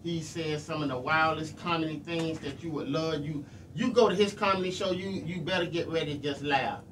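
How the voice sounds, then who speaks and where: Guy doing a laid-back radio show w shout-outs, kinda far from the mic, lots of noise. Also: on the high side, a little fast. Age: middle-aged. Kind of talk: casual live radio talk with shout-outs. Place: small radio studio, indoors. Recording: noisy, distant room microphone.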